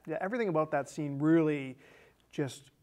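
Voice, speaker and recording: A man's voice: on the high side, fairly quick, a little mellow. A man in his thirties with an American accent, casually recapping a film as a co-host, often restarting and repeating phrases. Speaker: film discussion show co-host. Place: small indoor studio set with curtain.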